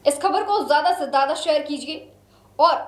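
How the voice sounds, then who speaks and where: High voiced lady news anchor, dramatic scripted read, really emphatic n solemn, echoey recording. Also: moderate pace. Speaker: news presenter.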